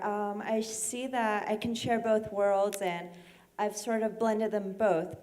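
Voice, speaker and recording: A young woman from Iran asking a panel a question, talking quickly with frequent ums. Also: medium pitch, a little mellow. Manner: rapid, with frequent um fillers. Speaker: audience member asking a question. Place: auditorium.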